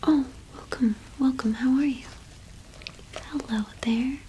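A woman in her twenties doing an ASMR roleplay as a cottage witch shopkeeper, speaking softly and unhurriedly with hesitant pauses, close to the microphone. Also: sits high, steady tone, a little hiss or hum, poor audio. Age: 20s. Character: friendly solitary cottage witch keeping a small shop.

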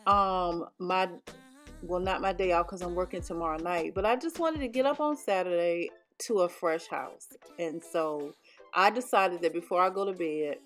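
Chatty woman narrating her home chores. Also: medium pitch. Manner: chatty, explaining with frequent ums. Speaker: home vlogger. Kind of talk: casual voice-over narration.

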